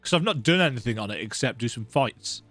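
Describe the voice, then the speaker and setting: A man's voice: mid-range voice, animated, quick pace, fairly bright tone, no echo, soft background music. A man hosting a let's play, giving casual, rambling, conversational commentary on a video game.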